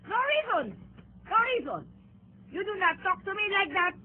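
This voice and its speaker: A woman's voice: very high-pitched, sing-song, a little slow, exaggerated voice. A woman playing a bossy employer scolding her maid in a radio drama, drawing out her words. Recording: thin phone-like radio sound.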